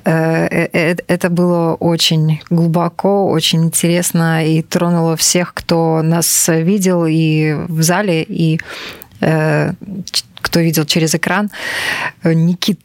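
A woman's voice: on the low side, no echo. A woman hosting a panel interview on radio, introducing guests and handing over questions. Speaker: radio show host.

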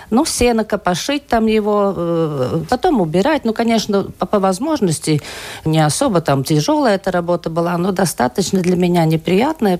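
A woman's voice: on the low side, darkish tone. A woman from rural Latvia telling her personal story as a radio interview guest, searching for her words. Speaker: interview guest, asthma and allergy patient.

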